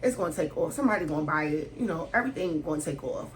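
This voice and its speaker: A woman's voice: sits low, animated, rapid-fire, low-quality recording. A candid woman. She keeps it loose and slangy, laughing as she answers questions at home. A faint hiss of room noise sits behind her. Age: 30s. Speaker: vlogger. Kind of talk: casual sit-down Q&A vlog. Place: indoors at home, sit-down setting.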